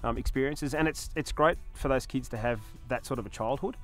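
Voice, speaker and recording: A man's voice: medium pitch, a little fast, faint music behind. An Australian man in his forties, a farmer talking casually in an outdoor interview. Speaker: novice farmer being interviewed. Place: outdoors on a farm hillside.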